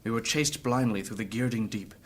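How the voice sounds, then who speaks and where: A man's voice: fairly low pitch, fairly even intonation, a little fast, lightly acted. A man playing a wounded, earnest adventurer who tells his story courteously and formally in a fantasy audio drama. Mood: reflective.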